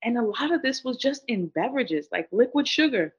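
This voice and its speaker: A woman's voice: fairly high pitch, animated, fairly quick. Earnest woman on a muffled line. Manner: earnest, reflective, many "like" fillers. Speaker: guest sharing her story. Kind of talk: personal testimony in conversation. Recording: dull lo-fi audio.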